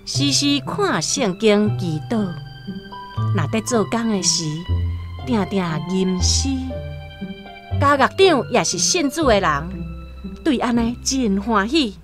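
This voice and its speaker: A woman with a Taiwanese accent narrating a radio drama as an expressive scripted story. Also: a little high, slow pace, pauses often, music in the background.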